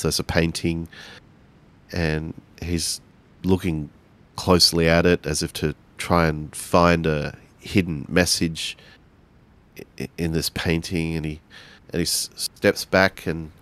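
Really low voiced guy narrating his rpg character, stop-start, repeats himself. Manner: halting, repeats words as he improvises. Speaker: tabletop game player. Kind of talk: improvised third-person roleplay narration.

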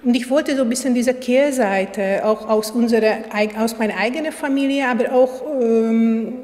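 A woman in her forties, a Hungarian author speaking German with a Hungarian accent, talking haltingly about her book at a festival. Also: fairly high pitch, darkish tone. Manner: hesitant, searching for words. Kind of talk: literary reading and festival interview. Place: gallery room at a literature festival. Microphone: table mic on a stand. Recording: echoey hall.

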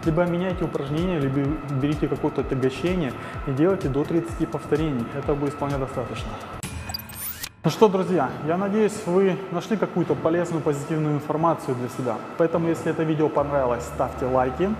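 Male trainer, 30s, lapel mic in a roomy gym, slightly high voice, music under. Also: fast talker. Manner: explanatory, with frequent "э" fillers. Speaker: fitness trainer. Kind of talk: gym workout tutorial. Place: large indoor gym. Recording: echoey gym with music behind.

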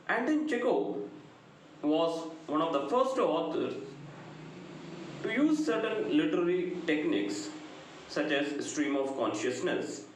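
A middle-aged man with a South Indian accent gives a prepared literature lecture in deliberate, measured short phrases. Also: a little high, animated. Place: indoor room, very reverberant. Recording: very echoey room, noticeable background noise.

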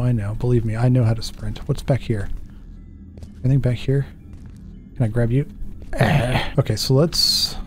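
A man's voice: mid-range voice, darkish tone. A man in his thirties giving casual let's play commentary, thinking aloud in a jittery, self-deprecating way. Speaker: gaming video host.

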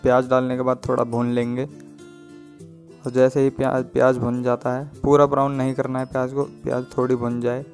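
A man narrates a home cooking tutorial in a plain, instructional way. His mid-pitched voice stays on a very flat, unvarying line as he explains each step. Faint music plays behind him. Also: moderate pace. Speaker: home cook presenting a recipe.

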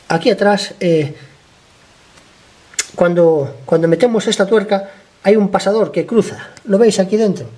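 A man with a slightly hoarse voice from a cold, talking casually while he works on a motorcycle in a garage, explaining each step and often checking in with his viewers. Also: sits high, darkish tone. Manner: chatty, explains step by step, keeps asking ¿vale?. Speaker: motorcycle mechanic vlogger.

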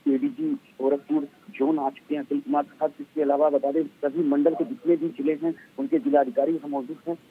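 Middle-aged male field reporter, dull lo-fi live link, halting unscripted delivery. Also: fairly high pitch, lively intonation, creaky, breathy edge. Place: outdoors outside a government office. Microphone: handheld microphone. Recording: muffled, narrow-band live outdoor link.

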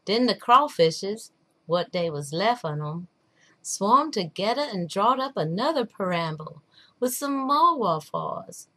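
A woman's voice: mid-range voice, big pitch swings, takes it slowly, fairly bright tone, full character voice. A woman voicing a patient, wry old man telling a folktale in drawling, folksy dialect. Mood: wry storytelling.

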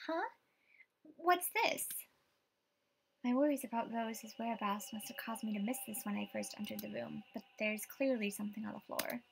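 A woman's voice: a little high, moderate pace, a little hiss or hum, low-quality recording. A woman voicing a frightened, superstitious young estate agent in a horror game, with a dramatic, tremulous delivery. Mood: uneasy, hushed. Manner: dramatic, tremulous, breathy asides, outbursts. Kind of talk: horror visual novel voice acting.

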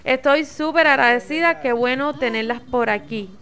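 A woman's voice: sits high, normal speed, sharp tone. A young woman with a Cuban Spanish accent, narrating her vlog in a chatty, informal way. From Cuba. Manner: chatty and informal, sends kisses to viewers. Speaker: vlogger.

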